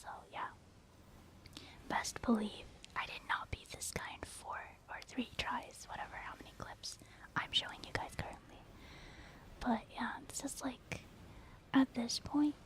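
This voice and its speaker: A woman giving casual, rambling game commentary in a soft, breathy ASMR whisper, with an encouraging manner. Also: medium pitch. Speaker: gaming ASMR creator.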